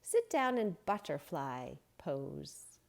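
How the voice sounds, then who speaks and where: A woman doing a low, calm boy yogi. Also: slow, creaky, exaggerated voice. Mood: instructive.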